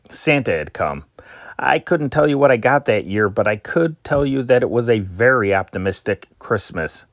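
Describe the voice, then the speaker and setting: An older man hosting a vintage radio show, narrating calmly with a nostalgic, unhurried manner. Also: on the low side, dry recording, clean studio sound. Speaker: podcast host. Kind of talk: reflective scripted narration.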